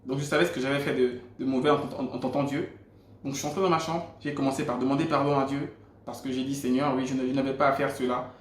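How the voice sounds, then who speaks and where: A man's voice: medium pitch, steady tone, quick pace, slight background noise. Gabonese man telling a testimony, roomy sound. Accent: Gabonese French. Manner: earnest storytelling with frequent restarts. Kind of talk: personal faith testimony. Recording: roomy echo.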